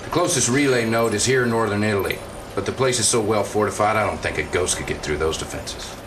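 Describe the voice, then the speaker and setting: An actor playing a weary, doubting field officer in a video game cutscene, talking in a blunt, confiding, colloquial way. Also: male voice, on the low side, fast, close to an everyday voice. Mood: troubled, blunt. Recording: distant mic, clearly noisy background.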